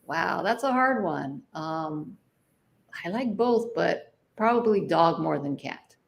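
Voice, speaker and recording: Low, gravelly-toned woman musician chatting. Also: expressive, slow pace. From Hawaii. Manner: thoughtful, many fillers and restarts, laughs easily. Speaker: jazz artist guest. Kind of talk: casual interview chat.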